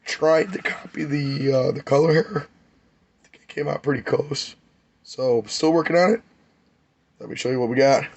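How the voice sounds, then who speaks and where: A man, hoarse and losing his voice, giving a rambling, enthusiastic hobby update on his model car builds. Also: mid-range voice, fairly even intonation, moderate pace, long pauses, darkish tone. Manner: rambling, enthusiastic, many fillers.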